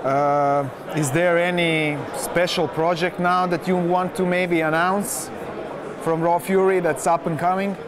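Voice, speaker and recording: Male interviewer, fairly high pitch, polite, halting questions, noisy crowd behind. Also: level tone. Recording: busy event crowd behind the voice.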